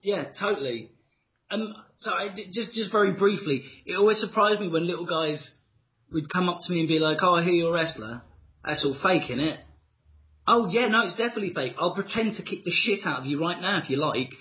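A man's voice: high-pitched, a little fast, highs cut off. A man co-hosting a casual wrestling podcast, telling stories with lots of laughter and animation. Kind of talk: casual chat.

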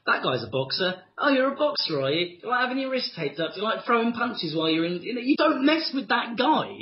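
A man's voice: very high-pitched, sing-song, fast talker, dull audio. A man co-hosting a casual wrestling podcast, telling stories with lots of laughter and animation. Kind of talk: casual chat.

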